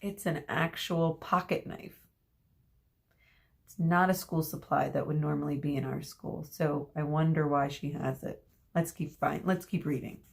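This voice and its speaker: A woman reading a children's story aloud expressively as a teacher, pausing to explain words and ask questions. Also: low voice, steady tone, creaky, a little mellow.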